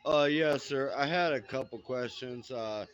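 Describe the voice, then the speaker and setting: A man with a loud voice asking polite questions at a casual meeting, often saying sir. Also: mid-range voice, a little slow, muffled recording, slight background noise. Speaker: roleplay officer.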